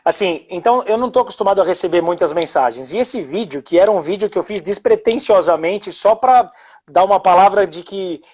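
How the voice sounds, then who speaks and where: A man with a husky, slightly breathy voice, speaking over a live video call as a pilot and host. He talks in a thoughtful, reflective way, pausing and hesitating as he gathers his words. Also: sits high, lively intonation, darkish tone. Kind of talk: casual live video chat.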